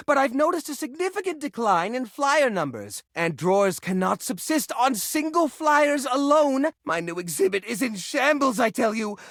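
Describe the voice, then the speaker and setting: An actor voicing a fussy, anxious junk drawer come to life in a video game, theatrical and flustered, with old-fashioned folksy exclamations. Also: male voice, very high voice, gravelly, fairly bright tone, dry recording, studio quality, full character voice. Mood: frantic, indignant. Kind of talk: video game character voice acting.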